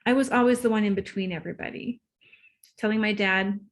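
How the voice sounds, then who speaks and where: Excitable woman coach, quick to laugh. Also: mid-range voice. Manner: excitable, quick to laugh, loses her thread. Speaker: guest, relationship coach. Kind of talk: casual interview talk.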